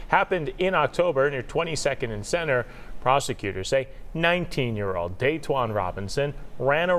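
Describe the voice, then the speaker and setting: An American man reading scripted television news as an anchor. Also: medium pitch.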